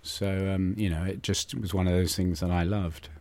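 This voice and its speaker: A man's voice: deep voice, level tone, normal speed, a little mellow, no echo. A man in his sixties with a London accent, reminiscing casually as a studio interview guest in a hesitant, self-deprecating, chatty way. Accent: London English. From London, England. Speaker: interview guest, church musician. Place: radio studio.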